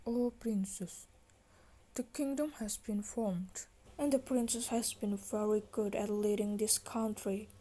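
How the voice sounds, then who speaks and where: Woman as a slow, solemn royal minister. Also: mid-range voice, fairly even intonation, mellow, close to an everyday voice, low-quality recording. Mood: solemn, advising. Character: earnest, solemn royal minister, advising and formal. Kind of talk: children's puppet-show storytelling.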